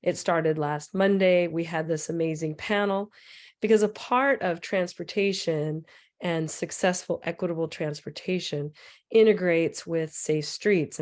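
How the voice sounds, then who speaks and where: A woman with a raspy voice, hoarse from a cold, giving a loose, rambling presentation with many fillers over a computer mic on a video call. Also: sits low, fairly even intonation, normal speed, a little mellow. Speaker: transit equity advocate presenter.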